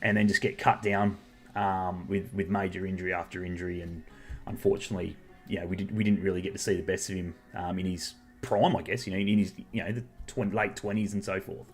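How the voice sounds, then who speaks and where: A man's voice: low-pitched, little pitch movement, a little fast, faint music behind. An Australian man hosting a podcast, talking in a rambling, chatty way with many fillers. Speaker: podcast host. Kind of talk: casual chat.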